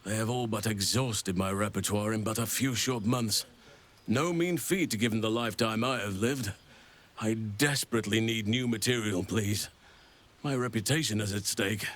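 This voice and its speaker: An actor playing a gentle, wise, warm-hearted druid in a fantasy video game, speaking in formal, courtly, unhurried phrases. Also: male voice, fairly low pitch, lightly acted. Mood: playfully earnest. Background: music.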